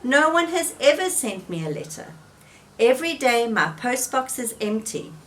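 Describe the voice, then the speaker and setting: A woman's voice: on the high side, very animated, normal speed, a bit bright, voice pushed up. A woman voicing a gloomy, stubborn male toad in a children's storybook reading, mopey and stubborn. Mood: glum.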